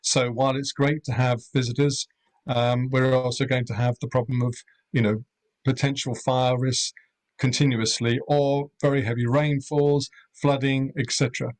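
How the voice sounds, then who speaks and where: A middle-aged man from Europe talks at a measured, unhurried pace. He pauses often and fills the gaps with ums and uhs. His voice sits at an ordinary middle pitch. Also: little pitch movement. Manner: measured, hesitant, many fillers. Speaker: rural accommodation owner. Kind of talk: webinar panel talk.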